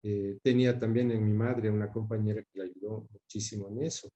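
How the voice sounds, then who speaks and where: A man in his sixties with a Quito, Ecuadorian accent, talking reflectively as an interview guest, measured, pausing and repeating words as he searches for them. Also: lowish voice, little pitch movement, warm tone, highs cut off. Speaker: interview guest recalling his father's career.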